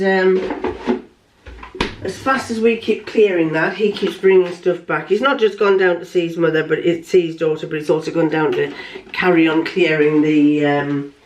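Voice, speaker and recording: A woman's voice: lowish voice, darkish tone, slight background noise, poor audio. Chatty older woman, throaty. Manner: chatty, rambling with fillers and restarts. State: throaty from a lingering cough. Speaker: vlogger. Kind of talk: casual vlog chat. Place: small home workshop shed.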